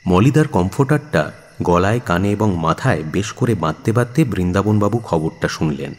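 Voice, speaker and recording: A man's voice: low voice, animated, normal speed, a little mellow. A man narrating a literary story in a dramatic audio-book style. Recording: long reverb, music behind. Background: music.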